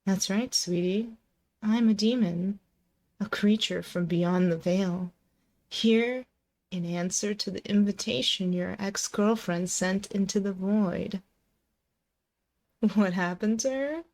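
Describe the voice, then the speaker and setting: A woman's voice: mid-range voice, a little mellow. A woman performing an intimate ASMR roleplay as a possessive, teasing demon in a girlfriend's body, coaxing and condescending, close on a binaural mic. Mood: gloating, teasing. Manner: slow, coaxing, condescending pet-name teasing. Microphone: close binaural microphone.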